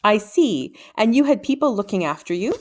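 A woman's voice: medium pitch, big pitch swings, moderate pace, darkish tone. A haughty old wizard villain from a storybook, acted out by a woman. He puts his questions smugly, pointing each word. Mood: falsely polite.

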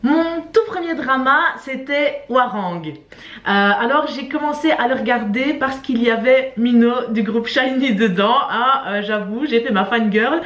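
A young woman speaks in a fairly high voice, chatting informally to her viewers. She is expressive and leans on emphatic words. The sound has a clear roomy echo, as if recorded at home a little way from the camera. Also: unhurried. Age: young adult. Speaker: YouTube vlogger presenting to camera. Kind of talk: casual chatty vlog. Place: small room at home.

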